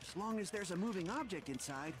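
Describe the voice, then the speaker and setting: A brash, excitable young male student acted for a game dub argues emphatically, his pitch leaping around. Loud game music plays behind him. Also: quick pace, lightly acted. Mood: indignant. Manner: emphatic, argumentative. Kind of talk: anime video game dubbing.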